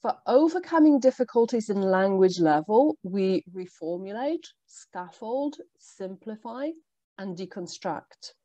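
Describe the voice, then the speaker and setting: A woman whose first language is Polish, presenting a workshop for language teachers in a measured, explanatory way with frequent ums. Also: mid-range voice, animated, mellow, no echo, muffled recording. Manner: measured, explanatory, with pauses and fillers. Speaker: teacher trainer.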